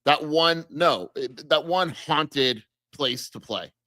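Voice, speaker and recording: Teasing man with a lively voice. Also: a little high, slow pace, no echo, clean studio sound. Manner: teasing, laughs often. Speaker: podcast co-host. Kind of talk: casual sports chat.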